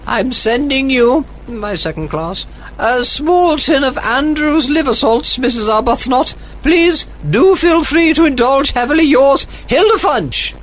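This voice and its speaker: An actress playing a haughty, sardonic British agony aunt in a 1940s radio comedy, with pompous, withering formality. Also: female voice, sits high, lively intonation, unhurried, rough recording. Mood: pompous, scolding.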